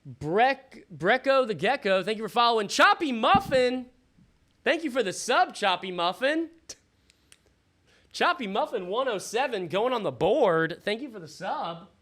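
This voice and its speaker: A man hosting a live fantasy football stream in a casual, slangy, sweary way, thinking out loud, his voice starting to give out. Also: high-pitched, sing-song, measured pace. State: losing his voice. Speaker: livestream host. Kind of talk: casual live fantasy football draft commentary with chat shout-outs.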